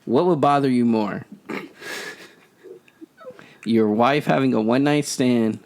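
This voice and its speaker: A man with a middle-pitched, everyday voice hosts a podcast. He keeps a laid-back, casual tone, laughs along easily and puts questions to his guest. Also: slow pace, darkish tone. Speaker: podcast host.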